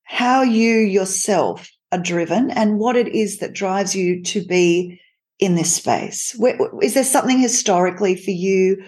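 A woman's voice: mid-range voice, a little slow, a little mellow. A woman interviewer asking long, rambling questions in an admiring, conversational way.

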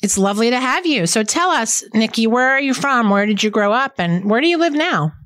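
A woman's voice: on the high side, animated, a little fast, a bit bright, no room sound, studio quality. A woman from New Jersey hosting a podcast, introducing a guest and asking plain, friendly questions. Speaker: podcast host. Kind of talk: casual podcast interview.

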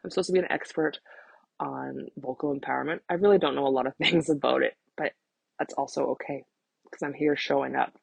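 A woman in her thirties speaks casually on a solo podcast, with a husky voice full of vocal fry. She rambles in a frank, self-deprecating way and swears freely. The sound is close and dry. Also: extremely low pitch, fairly even intonation, a little fast, no echo. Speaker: podcast host, vocal empowerment coach.

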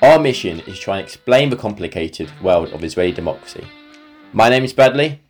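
A man with a fairly low voice hosts a podcast. He introduces the subject and puts questions to his guests. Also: animated, a little fast, soft background music. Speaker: podcast host.

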